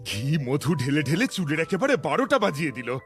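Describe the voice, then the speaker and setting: A man plays a fussing, flustered god in a comic cartoon. His voice is high and very animated, full of whiny exclamations and grumbles. Clear music runs underneath. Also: fairly quick.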